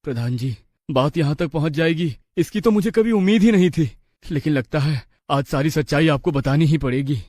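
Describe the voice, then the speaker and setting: A man's voice: fairly high pitch, fairly quick, a little mellow, no room sound, clean studio sound, lightly acted. A man playing an earnest, respectful young village husband and dutiful son.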